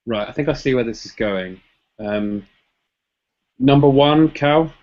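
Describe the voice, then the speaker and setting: A man's voice: medium pitch, unhurried, a little mellow, lo-fi sound, a little hiss or hum. A man hosting a casual podcast chat, laughing often and hedging his points. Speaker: podcast host. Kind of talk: casual chat about boxing rankings.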